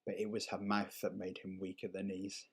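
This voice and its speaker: A man reading a short story aloud in an unhurried, measured and expressive storytelling manner. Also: low voice, slightly rough. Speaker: story reader. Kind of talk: dramatic short story narration.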